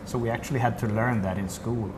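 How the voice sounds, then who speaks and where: A man's voice: on the low side, steady tone, fast. Swedish man explaining products. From Sweden. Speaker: company representative. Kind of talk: product demo interview. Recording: noisy room ambience.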